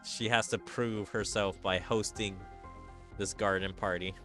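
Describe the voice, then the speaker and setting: A man's voice: lowish voice, fairly even intonation, unhurried, bright, forceful tone, faint music behind. A man giving casual commentary while he plays a video game. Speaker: streamer playing a mobile game.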